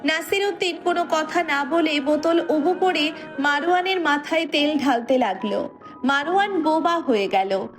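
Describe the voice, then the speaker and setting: A woman narrates a scripted story in a high-pitched voice. Faint music runs beneath her reading. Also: moderate pace.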